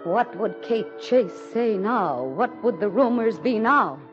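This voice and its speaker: An actress playing a proud, embattled president's wife in a formal, theatrical 1940s radio drama style. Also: female voice, medium pitch, expressive, takes it slowly, faint music behind. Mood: brooding narration. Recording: muffled vintage 1940s radio recording.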